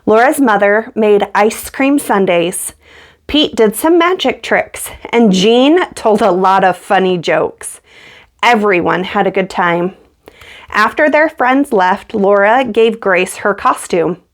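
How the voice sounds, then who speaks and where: Slow-paced woman reading a children's story. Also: mid-range voice, animated. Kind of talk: read-aloud children's story narration.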